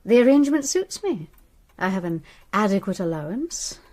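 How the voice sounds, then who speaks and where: An actress playing a bitter, scornful middle-aged widow in a radio crime drama, with an acid, haughty, sardonic manner. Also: female voice, medium pitch, very animated, normal speed, warm tone, lightly acted. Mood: bitter, cold. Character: bitter, scornful estranged wife of forty-one, freshly widowed.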